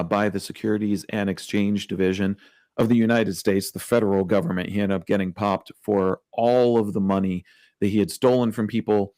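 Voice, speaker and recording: A man's voice: fairly low pitch, a little mellow, no echo, clean studio sound. A man co-hosting a casual podcast, telling a story in a chatty, wry way, full of fillers. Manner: chatty, lots of like and you know, wry. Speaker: podcast co-host.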